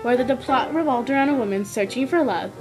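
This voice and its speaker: A woman's voice: sits high, fast, over music. A woman reading scripted educational narration.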